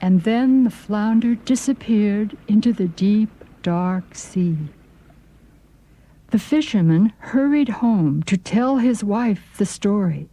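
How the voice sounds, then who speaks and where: A woman's voice: mid-range voice, expressive, slow pace, dark tone, poor audio. A woman narrating a children's folk story in a scripted storytelling style.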